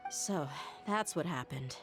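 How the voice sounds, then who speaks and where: Game heroine: proud young female fighter, lowish earnest voice, faint music underneath. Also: lively intonation, slow pace, creaky, exaggerated voice. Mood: subdued surprise. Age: young adult. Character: proud, independent young female desert mercenary. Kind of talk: scripted video game dialogue. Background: soft game music.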